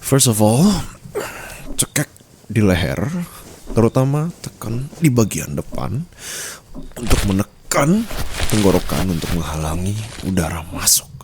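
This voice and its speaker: A man's voice: fairly low pitch, big pitch swings, unhurried, long pauses, noisy background, voice pushed up. A man playing a dominant, teasing rich husband in an intimate ASMR roleplay, taunting and possessive, mixing Indonesian with English. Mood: commanding, instructive.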